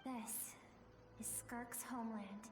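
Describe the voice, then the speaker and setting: An actress playing a solemn, wistful woman who recounts a tragic tale in measured, theatrical narration. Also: a little high, steady tone, very noisy. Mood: nostalgic, hushed. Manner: measured, breathy pauses, theatrical. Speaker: game story narrator character. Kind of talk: dramatic scripted storytelling. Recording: echoey reverb over game music.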